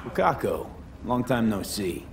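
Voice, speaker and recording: A man's voice: on the low side, sing-song, a little slow, gravelly, crowd noise, exaggerated voice. A man playing a cocky, streetwise young mercenary in a video game, with a clipped, blunt, wry delivery. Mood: businesslike. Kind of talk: dramatic game dialogue.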